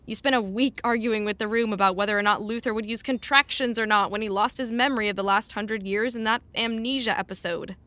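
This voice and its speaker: An actress playing a blunt, exasperated but caring personal assistant in naturalistic audio drama, wry and no-nonsense. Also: female voice, a little high, fairly even intonation, brisk, few pauses, sharp tone, no room sound. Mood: incredulous. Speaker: actor.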